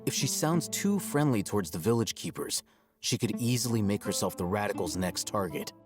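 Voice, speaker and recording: A man plays an aloof scholar in a game, low-voiced and self-assured. He speaks in a measured, matter-of-fact, faintly condescending way, with music clearly behind him. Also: animated, lightly acted. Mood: analytical. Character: aloof, rational young male scholar, coolly self-assured. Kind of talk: video game voice acting.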